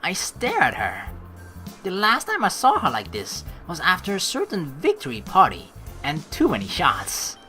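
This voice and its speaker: Low-voiced, animated woman doing game commentary. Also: moderate pace. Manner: rambling, animated, informal with mild swearing. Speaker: let's play host. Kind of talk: casual game commentary and reading aloud. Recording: faint game music behind the voice. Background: game music.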